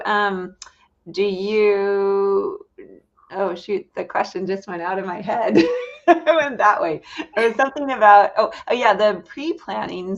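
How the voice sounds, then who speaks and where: A woman hosting a casual live interview, feeling her way through questions in a halting, informal manner. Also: medium pitch, dull audio. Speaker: live-stream host.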